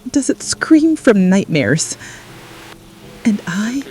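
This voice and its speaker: A woman playing an exhausted, frightened mother in an apocalypse radio drama, confessing in short, halting phrases. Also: mid-range voice. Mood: resigned, pleading. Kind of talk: scripted radio drama monologue. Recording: steady rain noise behind voice. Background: rain ambience.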